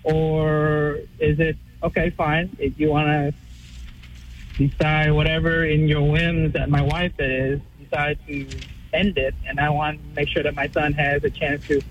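A man in his thirties from Vietnam, calling in to ask for advice, speaking haltingly with many fillers and restarts. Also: on the high side, little pitch movement, highs cut off, a little hiss or hum. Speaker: caller. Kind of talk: call-in advice question.

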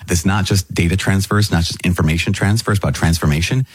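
A man's voice: sits low, steady tone, quick pace, husky, no echo. A man, a priest hosting a podcast, teaching the catechism in an earnest conversational way and rephrasing himself as he goes.

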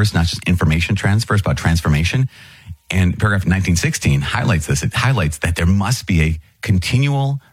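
A man's voice: low voice, slightly rough, no room sound. A man, a priest hosting a teaching podcast, explaining things conversationally and rephrasing mid-thought into a close mic. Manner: thinks aloud, rephrases mid-sentence.

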